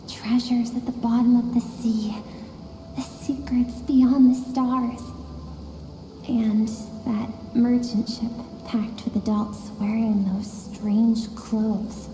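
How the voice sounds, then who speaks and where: Soft wistful game narrator woman, slightly high mellow voice, echoey with music. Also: little pitch movement, slow, a little hiss or hum. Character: gentle, wistful female storyteller guiding through memories. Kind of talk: scripted video game narration. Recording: echoing, long reverb over music.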